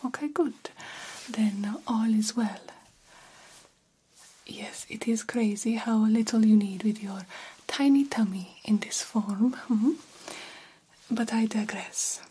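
A woman playing an attentive vampire doctor in an ASMR roleplay, whispering softly and soothingly with a breathy voice. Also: a little high, exaggerated voice, low-quality recording. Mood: calm, clinical. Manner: hushed, unhurried, soothing bedside talk. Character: female vampire doctor, attentive, calmly examining a patient.

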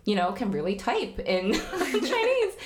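A woman's voice: medium pitch, wildly swooping pitch, a little slow. A young woman, Cantonese her first language, chatting casually with fillers, restarts and frequent laughs. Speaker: podcast guest. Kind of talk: casual chat.

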